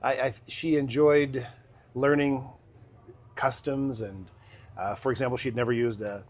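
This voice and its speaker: A middle-aged man, a city council member, answering interview questions in a measured, explanatory way with pauses. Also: medium pitch, fairly even intonation.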